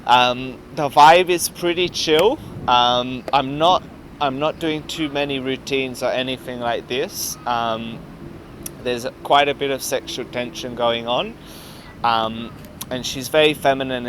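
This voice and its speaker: Australian man, young, relaxed and chatty, lots of ums, traffic noise outdoors. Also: mid-range voice. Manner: laid-back, laughs often, many ums. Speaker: dating coach. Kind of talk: casual street chat and voice-over commentary. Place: outdoors in a city park.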